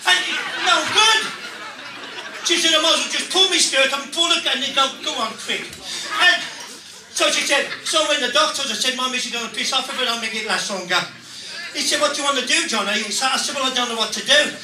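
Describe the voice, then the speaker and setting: Brash high-pitched Birmingham club comic, a man. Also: brisk. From Birmingham, England. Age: middle-aged. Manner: brash, punchy joke delivery with swearing. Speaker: stand-up comedian. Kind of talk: bawdy club stand-up comedy. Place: small club stage, indoors. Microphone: handheld stage mic, picked up by far camera. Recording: echoey, very noisy club, distant camera microphone. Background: noisy laughing audience.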